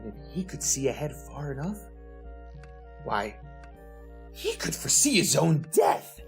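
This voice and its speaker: An actor playing an excitable, grandiose young club member in a theatrical, rhapsodic retro radio drama style. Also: male voice, a little high, unhurried, creaky, music in the background, full character voice. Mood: feverishly grandiose.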